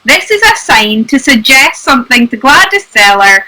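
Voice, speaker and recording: A woman's voice: sits high, very animated, moderate pace, pushed and bright, rough recording. A woman co-hosting a casual chat, upbeat, speaking straight to viewers and asking questions. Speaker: co-host.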